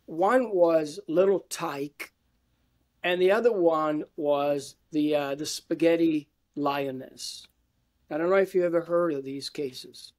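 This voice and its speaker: A man's voice: fairly high pitch, slow pace, darkish tone. A man in his fifties from Italy with an Italian accent, answering conversationally as an academic interview guest on a video call. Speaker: interview guest, university lecturer. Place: indoor room with bookshelves, video call.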